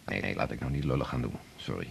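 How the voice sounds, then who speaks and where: An actor playing a senior male doctor, gruff but fond, brusque and teasing in a radio drama. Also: a bass voice, fast, slight background noise, exaggerated voice.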